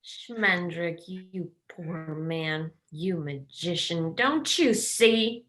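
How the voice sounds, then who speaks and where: A woman's voice: lowish voice, drawn-out words, fairly bright tone. A woman reading a blunt, impulsive young female companion in a play table read, pleading and scolding.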